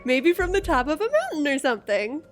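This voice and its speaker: Woman acting female army general, audio drama, high voice, sarcastic, forceful, music bed. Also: huge pitch swings, brisk. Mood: sardonic, pressing. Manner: brusque, sarcastic.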